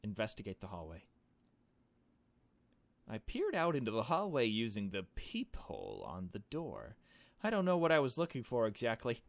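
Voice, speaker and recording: A man reading a visual novel aloud as a let's player, in hushed storytelling narration with casual asides. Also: on the low side, dry recording.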